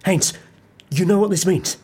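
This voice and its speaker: An actor playing a flustered, panicky secret agent in an audio drama, agitated and repeating himself. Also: male voice, high voice, normal speed, warm tone, slight background noise, natural voice. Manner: agitated, repetitive. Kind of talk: audio comedy drama acting.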